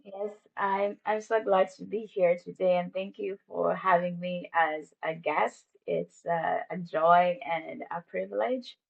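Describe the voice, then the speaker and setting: A woman's voice: on the low side, fairly even intonation, a little slow, creaky, few pauses, narrow, tinny audio. Ugandan woman sharing her faith story. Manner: warm, faith-filled storytelling. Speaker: ministry staff guest. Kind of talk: personal testimony in an interview.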